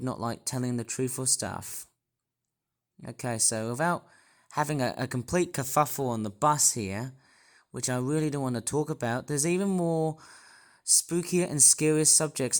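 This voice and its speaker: A man talks straight to his channel in a croaky, hoarse voice that sits at an ordinary middle pitch. He rambles and repeats himself, circling back with hesitant restarts as he works through his story. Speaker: YouTuber. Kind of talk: rambling personal vlog monologue.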